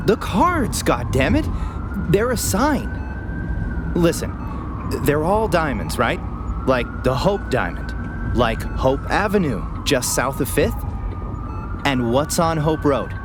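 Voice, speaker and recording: Excitable paramedic crime nerd, highish lively voice, earnest, audio drama, sirens n van noise. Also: male voice, takes it slowly, long pauses. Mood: insistent. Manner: earnest, insistent, theorizing. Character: eager, excitable male paramedic obsessed with crime theories. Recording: clear vehicle and siren noise behind. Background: ambulance and siren sound effects.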